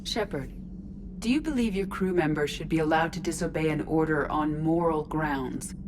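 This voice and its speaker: Woman as a low-voiced, precise female AI. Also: moderate pace, background noise, natural voice. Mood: earnest, inquiring. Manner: precise, formal, literal, composed. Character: female artificial intelligence in robot body, curious, logical. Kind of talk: video game dialogue acting. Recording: clear ship ambience behind voice.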